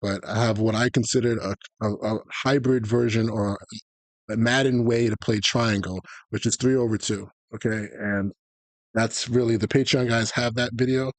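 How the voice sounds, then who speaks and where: A man giving a live, conversational tutorial as a gaming coach, explaining tactics and often checking in with a quick "okay?" or "right?". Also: lowish voice, fairly even intonation, dry recording. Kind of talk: live explanatory commentary on football video game tactics.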